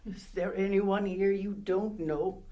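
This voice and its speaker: A woman's voice: mid-range voice, fairly even intonation, slow, dark tone, muffled recording, lightly acted. An actress playing a worn, tender, worried old village mother in a radio play, with a coaxing, motherly manner. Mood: puzzled.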